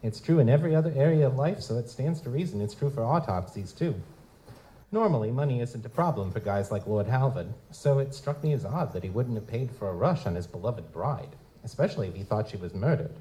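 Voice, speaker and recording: A man's voice: medium pitch, expressive, fairly quick, mellow, close to an everyday voice. A man playing a wry, wisecracking goblin private eye in a hard-boiled noir audio drama. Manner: wry, feigns hesitant politeness. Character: wisecracking male lutin goblin private investigator, narrating noir-style. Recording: noisy live stage recording.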